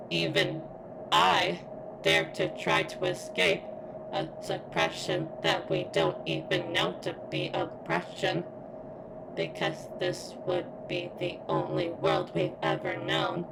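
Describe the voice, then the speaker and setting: A woman playing a sentient robot girl in an ASMR roleplay, speaking haltingly and deliberately as if in constant pain, earnest and devoted. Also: extremely low pitch, vocal fry, noisy background, exaggerated voice. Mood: pained, brooding.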